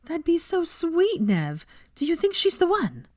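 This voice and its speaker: Woman playing a bubbly, nosy young woman, lifted pitch. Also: huge pitch swings, measured pace, no room sound, studio quality, exaggerated voice. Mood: gushing.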